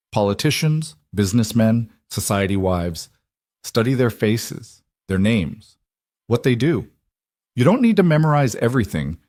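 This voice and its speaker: A man voicing a powerful, protective mafia boss in audiobook dialogue, measured and grave. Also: fairly low pitch, a little mellow, dry recording, clean studio sound. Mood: measured warning.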